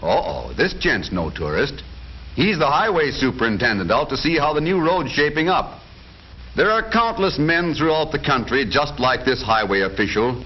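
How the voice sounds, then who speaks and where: Lively 1940s American male film narrator. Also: on the high side. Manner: jaunty, folksy, wisecracking announcer delivery. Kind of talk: 1940s scripted documentary narration. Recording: dull band-limited vintage 1940s film soundtrack.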